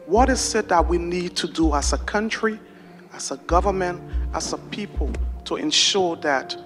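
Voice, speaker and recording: A woman's voice: fairly low pitch, in a large hall. A woman from Liberia with a Liberian accent, a nonprofit founder putting a formal question at a public forum.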